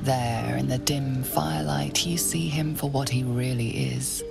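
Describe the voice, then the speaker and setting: A woman playing a solemn, ominous storyteller in a fantasy video game, speaking in a measured, hushed way. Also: extremely low pitch, steady tone, gravelly, music in the background, full character voice. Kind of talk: scripted game narration.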